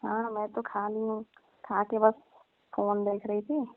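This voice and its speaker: A woman's voice: mid-range voice, level tone, measured pace, natural voice. A young woman playing a coy, teasing female cousin in a casual, colloquial phone chat. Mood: gently defensive. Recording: thin narrow-band phone line.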